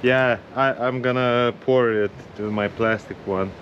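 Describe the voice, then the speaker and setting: A man's voice: mid-range voice, steady tone, a bit bright, lo-fi sound. A young man with a Lithuanian accent, chatting casually to camera while cycling outdoors. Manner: chatty, friendly, quick reactions. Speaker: cycling vlogger. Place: outdoors on a hilly coastal road. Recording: outdoor road and wind noise.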